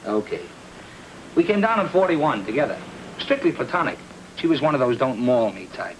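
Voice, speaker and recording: A man's voice: mid-range voice, sing-song, moderate pace, creaky, rough recording. An actor playing a breezy, cagey ex-showman who runs a lunch counter in an old noir drama, full of slangy patter. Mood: offhand, guarded. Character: wisecracking ex-vaudeville male lunch counter proprietor, breezy and cagey. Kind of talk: 1940s film noir acting. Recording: old film soundtrack with faint noise.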